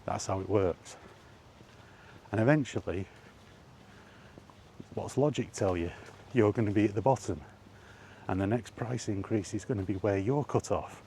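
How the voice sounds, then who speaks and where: A man's voice: lowish voice, moderate pace, long pauses, dark tone, slight background noise. A man and gaming vlogger giving a blunt, emphatic opinion rant while walking outdoors by allotments.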